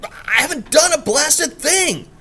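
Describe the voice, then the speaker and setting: A man reading a story voices a drunk, blustering rich gentleman who sputters and stammers. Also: extremely high pitch, expressive, slow, pitched above the performer's own voice, heavily acted. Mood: sputtering.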